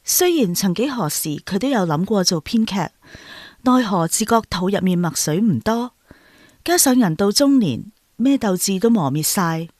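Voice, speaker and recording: A woman's voice: lowish voice, a little mellow, no room sound, studio quality. A woman with a Hong Kong Cantonese accent reading a scripted narration as a narrator. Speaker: narrator of the author's note.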